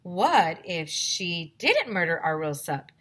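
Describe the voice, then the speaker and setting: A woman voicing a sharp-tongued schoolgirl who speaks with dramatic, conspiratorial suggestion in a storybook. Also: lowish voice, very animated, slow, exaggerated voice.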